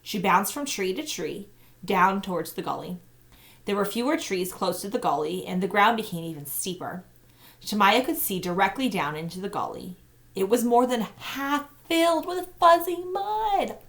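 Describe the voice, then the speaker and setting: A woman, a teacher, reading a children's novel aloud with expressive narration. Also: mid-range voice, moderate pace.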